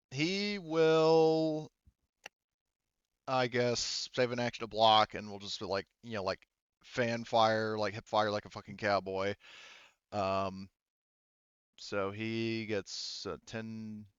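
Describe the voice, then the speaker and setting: A man speaking casually and haltingly, with some swearing, as game master of an online tabletop roleplaying game. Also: lowish voice, fairly bright tone, clean tone, no echo, dull audio.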